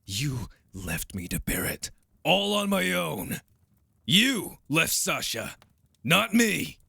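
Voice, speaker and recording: A man's voice: a little high, sing-song, slow pace, vocal fry, sharp tone, breathy edge, no room sound, clean studio sound, heavily acted. A man playing a proud, wounded young vampire hardened into bitterness, with intense, accusing delivery in an audio drama. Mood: anguished, accusing. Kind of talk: dramatic audio roleplay acting.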